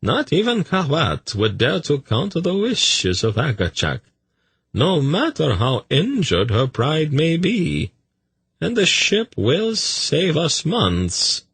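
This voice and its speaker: A man voicing a smug, self-congratulatory schemer in a fantasy audiobook, with an airy, drawn-out way of talking. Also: fairly high pitch, wildly swooping pitch, a bit bright, breathy edge, no echo, voice pushed up, full character voice. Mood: smug, assured. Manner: sighing, drawn-out, self-satisfied.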